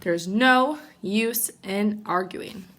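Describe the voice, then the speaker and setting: A woman's voice: medium pitch, extremely slow, a bit bright. A woman voicing a firm, sensible mother bear in a children's storybook, speaking in a no-nonsense way. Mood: resolute. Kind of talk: children's storybook read-aloud.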